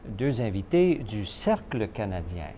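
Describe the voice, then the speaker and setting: A man's voice: on the low side, big pitch swings, a little slow, dark tone, slight background noise. A man co-hosting a casual TV talk show, jovial, laughing at his own stumbles and using many fillers. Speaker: co-host.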